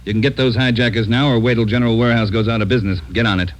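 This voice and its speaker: Actor as fast-talking, demanding police chief. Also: male voice, medium pitch, fairly even intonation, soft background music, lightly acted. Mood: impatient. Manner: brusque, barking orders. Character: gruff, demanding male chief of detectives. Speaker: actor in radio drama. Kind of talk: 1940s radio acting. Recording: noisy vintage radio recording.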